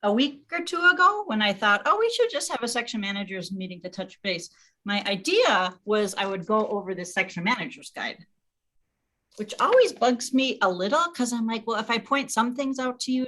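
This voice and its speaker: Woman, mid-range pitch, bright and crisp-edged tone; chatty meeting organizer, informal, self-interrupting delivery. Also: expressive, brisk.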